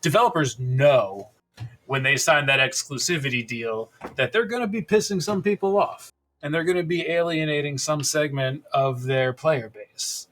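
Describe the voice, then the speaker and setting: Bright-voiced man in casual discussion. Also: on the high side, normal speed. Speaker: podcast co-host.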